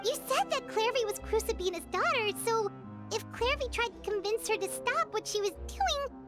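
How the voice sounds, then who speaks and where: A woman voicing a small, curious, chirpy fairy-like girl companion in a video game, asking quick questions in a squeaky voice. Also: animated, music in the background. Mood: questioning.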